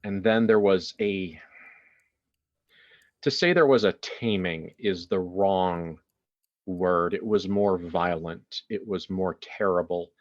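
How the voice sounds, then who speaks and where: A man narrating a horror tabletop game as game master, in a deliberate, dramatic storytelling manner with weighty pauses. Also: deep voice. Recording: slightly dull audio with music behind. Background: music.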